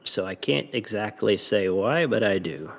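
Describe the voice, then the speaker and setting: Man, middle-aged, American with a light Southern lilt, lowish pitch, casual podcast chat. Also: lively intonation, normal speed. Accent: American, slightly Southern. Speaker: gamer on a podcast.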